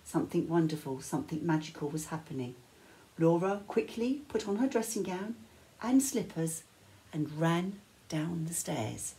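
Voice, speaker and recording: An older woman reading a children's bedtime story aloud with measured, dramatic phrasing and pauses. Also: on the low side, animated, warm tone, slight background noise, low-quality recording. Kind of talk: expressive picture-book read-aloud. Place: small living room, indoors.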